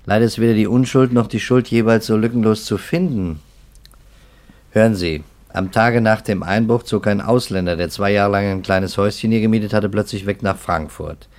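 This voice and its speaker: A male actor plays a pragmatic police investigator in a 1950s radio play. His voice sits fairly low. He talks deliberately and matter-of-factly, with a guarded, slightly weary skepticism. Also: steady tone, a little mellow, natural voice. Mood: measured, skeptical. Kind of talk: 1950s German radio drama acting. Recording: 1950s radio drama recording.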